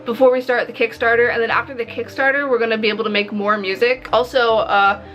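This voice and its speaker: A woman talks in a casual vlog style with a bright, fairly high voice. She is expressive and pokes fun at herself, swearing freely. Soft music plays behind her. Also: fast, rough recording. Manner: animated, expressive, self-mocking, swears casually. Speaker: visual novel developer and vlogger. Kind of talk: casual video diary chat. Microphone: recording to camera. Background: background music.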